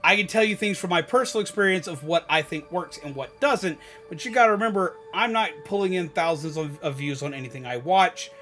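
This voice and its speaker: A man's voice: high-pitched, fairly quick, hardly any pauses, pushed and bright, soft background music. A man hosting a gaming livestream, chatting casually to viewers with rambling, emphatic repetition and some swearing. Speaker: gaming streamer and host. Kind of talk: casual livestream chat, giving advice to viewers.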